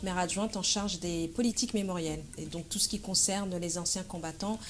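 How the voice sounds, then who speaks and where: Low-voiced woman guest, hesitant answers. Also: steady tone, a little hiss or hum. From France. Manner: measured, hesitant, with frequent fillers. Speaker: interview guest, association president. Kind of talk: conversational interview answers.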